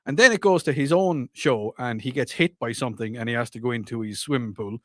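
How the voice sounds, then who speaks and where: Irish man, podcast co-host, animated and repetitive, dry close mic. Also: medium pitch, a little fast, no room sound. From Ireland. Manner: excitable, repeats himself when worked up. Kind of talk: casual chat.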